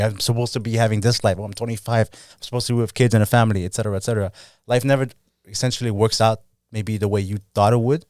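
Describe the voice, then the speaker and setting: A man in his thirties talks fast and upbeat as a podcast co-host, filling his speech with casual asides. His voice sits a little low. The recording is dry and close-miked. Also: a little mellow, no room sound. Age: 30s. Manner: upbeat, chatty, says "you know" and "dude" a lot. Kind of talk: casual motivational chat.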